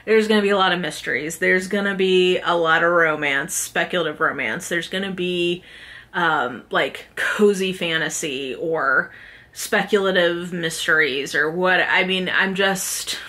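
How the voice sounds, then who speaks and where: A woman's voice: fairly low pitch, unhurried, sharp tone. A woman talking informally to viewers in a chatty, slangy book vlog style, with lots of "like" sprinkled in. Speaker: book vlogger, host. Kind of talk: casual chat to camera, book review.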